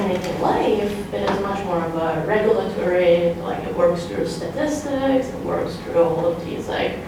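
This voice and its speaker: Woman in the audience asking a scholarly question, way off mic, really echoey, tentative. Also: lowish voice. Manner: tentative, frequent "like" as filler. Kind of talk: academic question, thinking aloud. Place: indoor university conference room. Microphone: distant room microphone. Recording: echoey, noisy room from far off.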